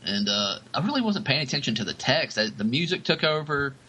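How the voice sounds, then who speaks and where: A man co-hosting a podcast, chatting casually in a halting way as he searches for words. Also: mid-range voice, very animated, bright, forceful tone, breathy edge. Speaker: podcast co-host. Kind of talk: casual discussion.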